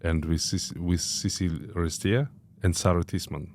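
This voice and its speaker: A man hosting a podcast, chatting casually and speaking haltingly as he searches for words. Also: deep voice, steady tone, a little mellow, a little hiss or hum. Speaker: podcast host, singer. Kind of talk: casual chat.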